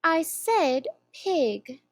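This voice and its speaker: A polite, curious young girl from a storybook, as a woman reads her lines, asks her questions in a prim, earnest way. The voice sits high and moves a lot. It sounds close and dry. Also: sing-song, extremely slow, no room sound, full character voice. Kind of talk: storybook reading.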